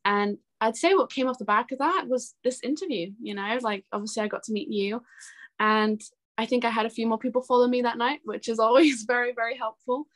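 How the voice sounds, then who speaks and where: A woman's voice: on the high side, fairly quick. A young woman talking chattily and earnestly as a guest in a casual interview. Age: young adult. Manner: chatty, earnest, laughs easily. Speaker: interview guest, singer-songwriter.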